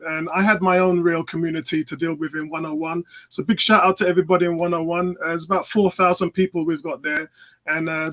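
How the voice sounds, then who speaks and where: A middle-aged man, chatting casually as a guest on a football fan panel and giving shout-outs. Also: sits high.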